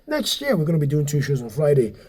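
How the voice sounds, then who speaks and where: A man's voice: fairly high pitch, huge pitch swings, quick pace, a little mellow. A man in his thirties with a Nigerian accent, a comedian and club owner chatting loosely with many fillers and repeated words. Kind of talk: casual interview chat.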